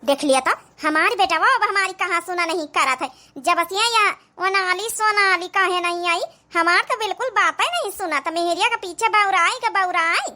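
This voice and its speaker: An elderly cartoon mother-in-law from the village, acted by a woman, scolds and grumbles in an Awadhi accent. Her voice is extremely high and sharp, with a biting, complaining manner. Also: normal speed, few pauses. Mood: indignant. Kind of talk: cartoon comedy dialogue.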